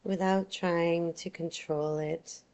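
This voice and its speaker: A woman teaching yoga nidra, guiding a relaxation meditation in a soothing, unhurried, gentle way. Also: on the low side, steady tone.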